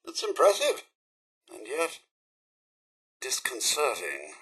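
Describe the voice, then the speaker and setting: A man's voice: takes it slowly, breathy edge, heavily acted. A male actor voicing a prim, fussy robot butler for a video game, with a plummy British accent and a theatrical, over-polite manner. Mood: dry, musing. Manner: plummy, over-polite, exclamatory, highly animated.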